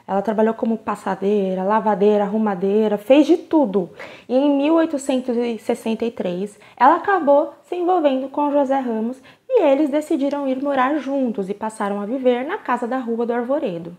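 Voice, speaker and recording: A woman's voice: fairly high pitch, a little mellow. A Brazilian woman hosting a true crime channel, telling the story in a casual, conversational way with chatty asides. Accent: Brazilian Portuguese.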